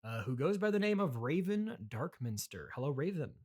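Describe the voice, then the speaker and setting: A man hosting a comedy interview podcast, speaking in a halting, polite, easily flustered way. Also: a little high, big pitch swings, darkish tone, slightly rough.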